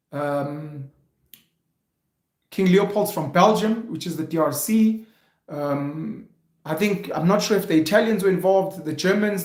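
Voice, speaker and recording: Male vlog host, South African accent, slightly high voice, explains opinions emphatically and deliberately, recorded in an echoey room. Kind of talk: unscripted opinion commentary to camera.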